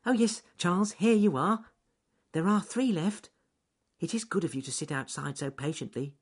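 A man voicing a polite, excitable male rabbit in a raised, light voice. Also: high voice, moderate pace, husky, pitched above the performer's own voice. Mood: eager.

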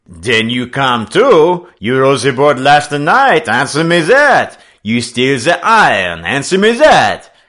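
A man voicing a bullying, sly French sloop captain in an audiobook, with a French accent, shrill voice and broken, taunting English. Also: wildly swooping pitch, takes it slowly, fairly bright tone, heavily acted. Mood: wrathful. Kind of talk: audiobook character acting.

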